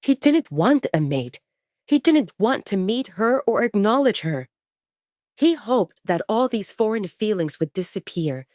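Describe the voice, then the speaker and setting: A woman's voice: medium pitch, darkish tone, no echo, clean studio sound. A woman reading a dramatic fiction story aloud as a scripted audiobook narration.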